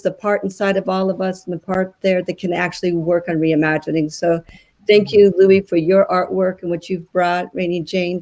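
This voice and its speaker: A woman's voice: lowish voice, a little mellow, poor audio. A woman co-hosting a live online conversation, earnestly thanking each guest over a video call. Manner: earnest, appreciative thank-yous.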